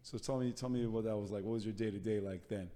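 Male podcast host, probing questions, fast talker, mellow dark tone. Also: on the low side, fairly even intonation, long reverb tail. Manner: probing, steers the talk with quick questions. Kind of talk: interview questions.

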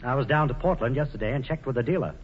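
A man's voice: medium pitch, very fast, slightly rough, close to an everyday voice. A man acting a stern, blunt young lawman in a 1940s radio drama. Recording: muffled, reverberant old radio broadcast.

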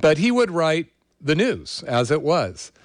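A man's voice: on the high side, big pitch swings, a little slow, no echo, studio quality. An older man with an American accent, hosting a show in a casual, rambling monologue with personal storytelling. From California, USA. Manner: rambling, conversational. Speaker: show host.

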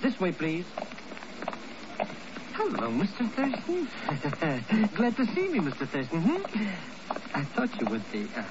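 An actor playing a cheeky, scheming hotel bellboy with a foreign accent and a wheedling, chattering way, in 1950s radio drama. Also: male voice, high-pitched, wildly swooping pitch, a little slow, pauses often, exaggerated voice. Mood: cheeky, eager. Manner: wheedling, chattering, hesitant with hums. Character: eager, cheeky, scheming hotel bellboy, self-appointed helper. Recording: noisy, muffled old radio recording.

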